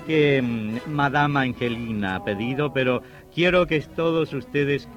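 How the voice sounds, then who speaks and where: A courtly, sinister gentleman doctor, acted by a man from a vintage radio play. He speaks smoothly and theatrically, with great politeness. The sound is dull and old, with faint music behind. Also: medium pitch, measured pace, close to an everyday voice. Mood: gracious.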